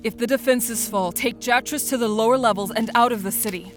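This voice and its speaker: A woman's voice: fairly high pitch, a little fast, soft background music, lightly acted. An actress playing a resolute soldier, a wife and mother, speaking in a firm, earnest, loving way in an audio drama. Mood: stern.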